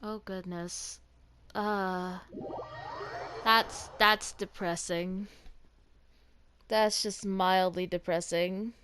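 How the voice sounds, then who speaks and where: A woman's voice: mid-range voice, fairly even intonation, extremely slow, long pauses. A woman giving casual reaction commentary while streaming a game. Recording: noisy background with clicks.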